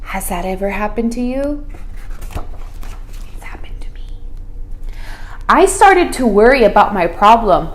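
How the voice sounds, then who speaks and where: Slow, high-voiced woman reading to children. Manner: expressive, deliberate, asks listeners questions. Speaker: school principal reading aloud to children. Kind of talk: children's picture-book read-aloud. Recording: roomy, echoey room sound.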